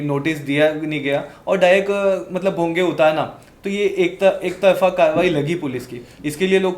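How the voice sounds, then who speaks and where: A man hosting a podcast, putting long, roundabout questions conversationally with English terms mixed in. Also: on the high side. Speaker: podcast host. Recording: echoey, roomy sound.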